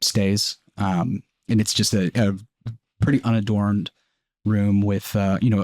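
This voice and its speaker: A man with a lowish, closely recorded dry voice runs a tabletop game. He improvises scene descriptions informally and talks to players in a conversational way. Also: a little mellow, no room sound. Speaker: game master.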